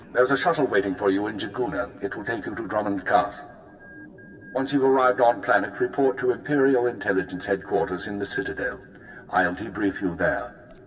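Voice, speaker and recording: A senior intelligence handler, played by a man, issues orders in a deep, formal, measured voice. The sound is roomy and echoing with a faint hiss under it. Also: close to an everyday voice. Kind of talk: video game cut-scene acting. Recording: roomy echo with faint noise.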